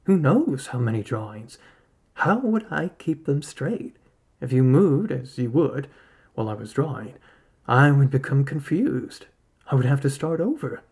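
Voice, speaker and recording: A man's voice: mid-range voice, lively intonation, a little slow, creaky, a little mellow, slightly rough, heavily acted. A man voicing a wily, reluctant male arctic hunter in a story, who reasons and bargains step by step. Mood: worried. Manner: argues step by step, rhetorical questions.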